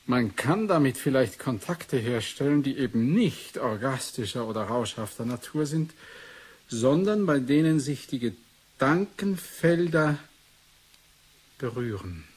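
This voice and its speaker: An actor playing a composed, thoughtful investigator and boss in a German radio play, with a husky voice. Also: male voice, medium pitch, expressive, unhurried. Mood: musing, composed. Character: male investigator, thoughtful, composed superior to his female assistant.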